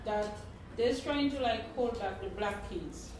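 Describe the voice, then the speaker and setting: A woman's voice: a little high, a little slow. A woman speaking earnestly as she makes her point in a group discussion. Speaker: community member speaking at a gathering. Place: echoey indoor room. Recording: very echoey room, distant mic, noisy.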